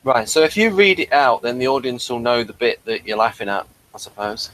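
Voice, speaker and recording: A man's voice: mid-range voice, expressive, fairly quick, fairly bright tone, rough recording. A man hosting a review show in a casual, conversational style. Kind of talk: casual chat.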